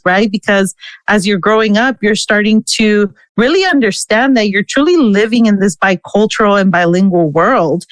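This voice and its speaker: A woman's voice: mid-range voice, no echo. A woman born in the United States whose first language is Spanish, a podcast guest candidly sharing a personal story with frequent "you know" and "right". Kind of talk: casual interview storytelling.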